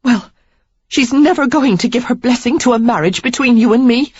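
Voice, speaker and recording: Female narrator doing a frustrated young woman, high emotional voice, close to tears, slightly muffled sound. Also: moderate pace, darkish tone, slightly rough, no room sound. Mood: indignant. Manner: pleading, emphatic, near tears.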